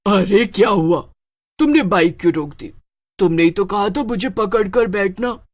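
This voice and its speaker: A woman voicing a teasing witch in a horror story, speaking with slow mock innocence. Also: mid-range voice, expressive, a little mellow, lightly acted. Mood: mock-innocent menace. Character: teasing female witch who was a pretty girl.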